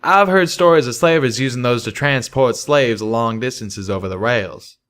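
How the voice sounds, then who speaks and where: A man's voice: medium pitch, normal speed, fairly bright tone, clear, resonant tone, pitched above the performer's own voice, natural voice. A man voicing a folksy, colloquial male pegasus in an audiobook story. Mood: muttering. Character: laid-back male pegasus cowboy, folksy and loyal.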